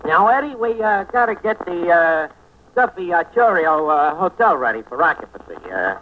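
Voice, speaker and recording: Scheming, hesitant tavern manager, high lively voice, tinny 1940s radio comedy. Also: male voice, normal speed. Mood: scheming, flustered. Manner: hesitant, hemming and hawing, malapropisms. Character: bumbling, scheming male tavern manager, cheerfully uneducated. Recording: scratchy, narrow-band 1940s radio recording.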